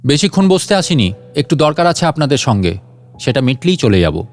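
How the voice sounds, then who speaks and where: Man voicing a grumpy rasping ghost, high-pitched. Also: very animated, a little fast. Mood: matter-of-fact. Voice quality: harsh, rasping voice. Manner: curt, impatient. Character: grumpy, irritable male ghost intruder in the dark.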